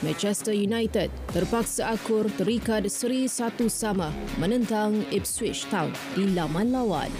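Brief: young female sports anchor, even mid pitch, formal studio read with background music. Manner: steady, formal delivery. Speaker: sports news presenter. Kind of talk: scripted news reading. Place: TV news studio. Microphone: studio mic. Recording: news music bed underneath.

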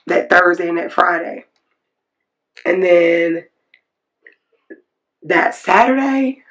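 Raspy woman recovering from flu. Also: lowish voice, low-quality recording. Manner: chatty, self-correcting, rambling. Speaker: planner vlogger. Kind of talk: casual planning walkthrough. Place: indoors at a desk, natural light.

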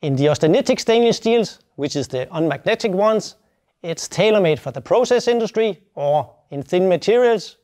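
A man's voice: high-pitched, expressive, normal speed, darkish tone. A man in his fifties giving a plain, step-by-step instructional presentation as a technical specialist, recorded on a lapel mic. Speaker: welding specialist presenting a product tutorial.

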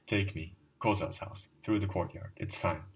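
Ragged drunk, middle-aged man, fervent and pleading, as a male reader puts him on; lowish voice, mic set back. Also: fairly even intonation, moderate pace, distant mic, lightly acted. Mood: anxious. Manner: fervent, rambling confession. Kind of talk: audiobook dialogue reading.

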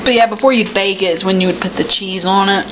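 A woman's voice: medium pitch, steady tone, fast, background noise. A woman chatting casually and bluntly while cooking in a home kitchen, in character as a cosplayer.

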